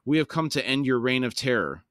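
A solemn, troubled elder god who speaks in a slow, measured way, read by a man. The pitch is middling and the recording is dry. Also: animated, fairly bright tone, no echo, natural voice.

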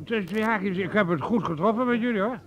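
An actor playing a jovial, boastful, tipsy schemer out to profit from war in a radio play. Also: male voice, high voice, quick pace, close to an everyday voice. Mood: confiding, excited. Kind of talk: Dutch radio play acting. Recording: dull radio play, crowd behind.

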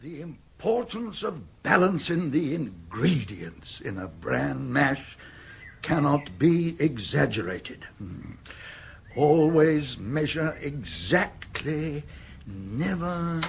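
An actor playing a woolly-minded elderly English earl with an upper-class accent, stammering and repeating himself in a radio comedy. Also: male voice, a little high, sing-song, takes it slowly, gravelly, a little hiss or hum, heavily acted. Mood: absent-minded. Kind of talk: radio comedy drama acting.

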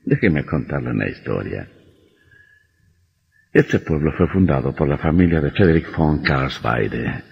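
An actor playing a sinister, gloating vampire doctor in an old radio horror drama, with a mocking, deliberate menace. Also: male voice, rumbling low, vocal fry, darkish tone, husky, exaggerated voice. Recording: muffled old radio recording, long reverb.

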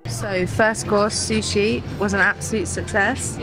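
A woman's voice: medium pitch, fairly even intonation, unhurried, music in the background, rough recording. A young British woman in her twenties, chatting enthusiastically to camera as a food vlogger in a big mall buffet restaurant. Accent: British English. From Britain. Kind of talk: casual vlog chat to camera.

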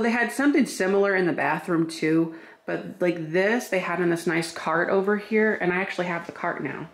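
A man's voice: high voice, gravelly, fairly bright tone. A young man, a vintage shop owner, chatting casually and rambling to a handheld camera indoors. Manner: chatty and rambling, many "like"s and restarts. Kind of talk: casual vlog chat.

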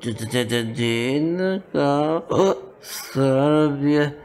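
Man playing a very slow, stammering drunk nobleman. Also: a little high, darkish tone. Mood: woozy, pining. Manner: stammering, slurred drunken mumbling with groans. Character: drunk wealthy nobleman, muddled, suspicious, pining for his lady. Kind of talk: audio drama acting.

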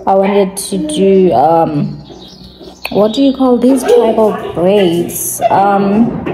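Slow-talking South African woman over music. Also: mid-range voice, animated, low-quality recording. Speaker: lifestyle vlogger. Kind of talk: casual chat to camera. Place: open-plan kitchen and living room at home. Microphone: phone or camera at arm's length.

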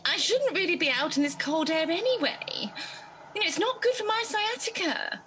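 A woman's voice: very high voice, animated, normal speed, fairly bright tone, a little hiss or hum. A woman playing a fussy, complaining, bossy fairy in a radio pantomime.

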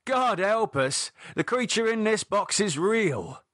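A man's voice: high-pitched, sing-song, takes it slowly, a bit bright, dry recording, studio quality, pitched above the performer's own voice, exaggerated voice. A man voicing an irritated, sarcastic, no-nonsense man with a British accent and a dismissive, colloquial manner, as in an audiobook. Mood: exasperated. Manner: dismissive, mocking, exasperated, colloquial. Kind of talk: audiobook character dialogue.

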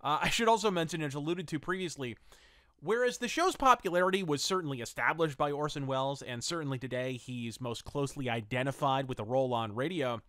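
Higher-voiced man reviewing with ornate wording. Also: a bit bright, no room sound, clean studio sound. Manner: wordy, ornate vocabulary mixed with blunt asides. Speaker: podcast host and reviewer. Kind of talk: enthusiastic semi-scripted radio drama review.

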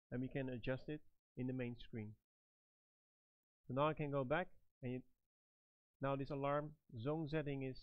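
A middle-aged man giving step-by-step product instructions in a halting, phrase-by-phrase manner. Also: a little high, no echo, highs cut off. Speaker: product trainer and presenter.

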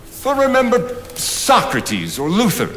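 An older actor on stage playing a lofty, persuasive 19th-century mentor, oratorical and emphatic. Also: male voice, sits high, huge pitch swings, takes it slowly, a bit bright, full character voice. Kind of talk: theatrical stage acting. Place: theatre stage, indoors. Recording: live theatre, reverberant, faint noise.

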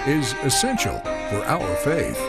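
A man giving scripted voice-over narration in a steady, formal reading. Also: mid-range voice, slow, vocal fry. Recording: voice-over with loud music under it.

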